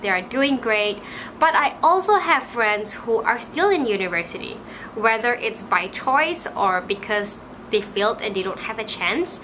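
A young woman in her twenties speaks with a Malaysian English accent and a bright, clear tone. She tells a personal story in a relaxed, chatty way, sprinkling in "like" as she goes. A faint room hum sits behind her voice. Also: a little high, rough recording. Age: 20s. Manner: chatty, frequent "like" fillers, animated. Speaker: vlogger. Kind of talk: casual storytelling to camera. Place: small room indoors.

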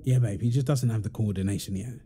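A man's voice: on the low side, quick pace, dark tone, slight background noise, natural voice. A man playing a playful, doting young father in an ASMR roleplay, teasing and affectionate with his family. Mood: gentle, coaxing. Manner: teasing, affectionate, laughing easily. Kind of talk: ASMR roleplay, improvised casual talk.

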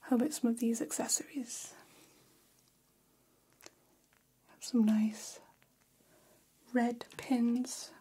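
Female vampire salon stylist acted by a woman, ASMR roleplay: gossipy and catty, extremely breathy whisper, slow, confiding delivery. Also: fairly high pitch, little pitch movement, dark tone, exaggerated voice. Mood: soothing, intrigued. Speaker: ASMR performer. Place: small decorated room, indoors. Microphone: close ASMR microphone.

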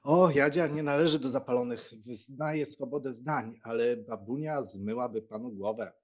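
A man playing a frank, teasing young Polish country squire in an animated, expressive audiobook performance. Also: medium pitch, normal speed, muffled recording, natural voice. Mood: frank, chatty. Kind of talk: dramatised audiobook acting.

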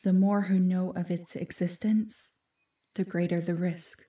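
Woman playing a solemn, slow-spoken forest guardian spirit. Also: fairly low pitch, steady tone, mellow, recorded from a distance, lightly acted. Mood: grave, instructing. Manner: measured, formal, breathy half-whispers. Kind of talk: fantasy audio roleplay drama acting.

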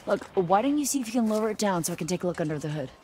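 A woman's voice: mid-range voice, expressive, racing, a little mellow, a little hiss or hum, close to an everyday voice. An actress playing an upbeat, practical young female camp counselor in a horror video game.

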